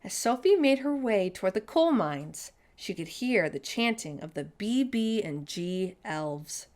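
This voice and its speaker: A woman reading a children's picture book aloud in an expressive storytime narration style. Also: medium pitch, a little slow.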